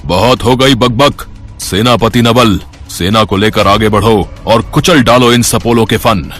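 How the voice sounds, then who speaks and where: आदमी की आवाज़ में गहरी आवाज़ वाला खूंखार दुष्ट तांत्रिक प्रेत. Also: male voice, lowish voice, measured pace, fairly bright tone, faint music behind. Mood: commanding fury. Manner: taunting, domineering threats. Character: evil male sorcerer spirit, menacing, vengeful father. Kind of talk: dramatic Hindi storybook voice acting.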